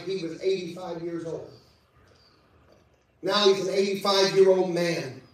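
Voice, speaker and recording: High-voiced man preaching in an echoey church. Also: recorded from a distance. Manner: preaching with call-outs and scripture reading. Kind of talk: sermon. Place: church service.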